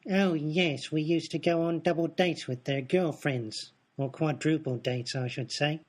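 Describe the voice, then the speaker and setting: Man acting as a calm shady mystery guy, bit high pitched, cagey, deadpan matter-of-fact, radio comedy. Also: measured pace.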